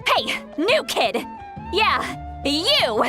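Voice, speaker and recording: Casting: proud, boozy granny shaman in a game; bright, forceful, swooping delivery, touchy and slurred. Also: very high-pitched, huge pitch swings, very slow, faint music behind, full character voice. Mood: drunken indignation. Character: tipsy, youthful-sounding granny shaman, proud, grumpy, touchy. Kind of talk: video game voice acting.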